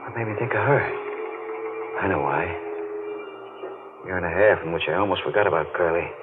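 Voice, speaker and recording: A noisy, phone-thin old radio recording carries an actor as a brooding American deep-sea diver. His voice sits low, and he talks in halting, tense, tough-guy slang. It is haunted 1940s radio drama. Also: phone-quality sound, lightly acted. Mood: distracted, uneasy.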